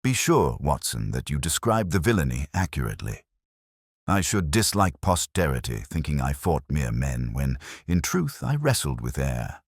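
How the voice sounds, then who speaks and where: A very low-pitched, weary detective, as a male narrator plays him, speaks with measured eloquence. The delivery is philosophical and gently wry, with lively swings of pitch in a dry, close storybook recording. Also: husky, dry recording, exaggerated voice. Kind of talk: audiobook character dialogue.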